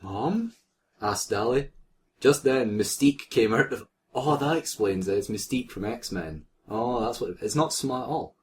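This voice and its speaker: A man's voice: medium pitch, lively intonation, normal speed, slight background noise. A man co-hosting a comic read-aloud of fan fiction, joking in asides as he reads.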